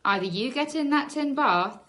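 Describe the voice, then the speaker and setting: A woman's voice: high voice, expressive, normal speed, bright, forceful tone, pitched above the performer's own voice. A woman voicing a bold, gleeful child hero who trains a dog, in a storybook reading. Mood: gleefully taunting. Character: triumphant young child narrator-hero, cheeky dog trainer.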